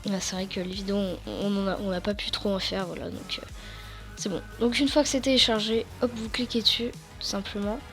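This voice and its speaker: A teenage girl from France recording a casual computer tutorial at home, a bit hoarse, rambling with many fillers and restarts. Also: mid-range voice, fairly even intonation, a little fast, a bit bright, soft background music. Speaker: tutorial YouTuber.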